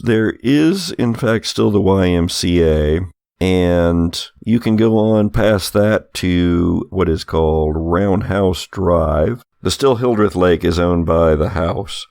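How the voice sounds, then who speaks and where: A man's voice: sits low, vocal fry, a little mellow, no echo, clean studio sound. A man acting as game master, improvising scene descriptions for a tabletop game in a conversational, explanatory way.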